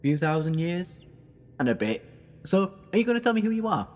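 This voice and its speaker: A man's voice: high voice, very animated, a little fast, darkish tone. A man playing an ancient, flippant time-travelling hero in an audio drama, wry and given to urgency. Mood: flippant, puzzled.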